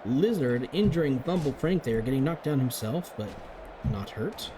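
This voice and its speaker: A man giving chatty, upbeat live commentary on a game as league commentator, his voice getting a little raspy. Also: mid-range voice, lively intonation, normal speed, noisy background. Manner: chatty, upbeat play-by-play with frequent restarts.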